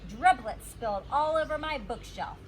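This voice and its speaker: High-pitched, animated woman reading a storybook. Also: normal speed, noisy background. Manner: expressive, emphasizes and stretches words. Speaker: teacher reading to children. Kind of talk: animated storybook read-aloud.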